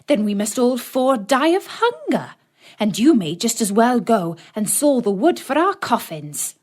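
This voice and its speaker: A woman's voice: a little high, very animated, unhurried. A woman voicing a harsh, scheming stepmother in a children's storybook, bossy and emphatic. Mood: scornful.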